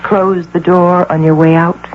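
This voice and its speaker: An actress playing a high-powered, sharp-tongued middle-aged agency president and doting mother, brisk and theatrical in a radio drama. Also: level tone, close to an everyday voice. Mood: brisk, commanding. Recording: muffled, noisy old radio broadcast.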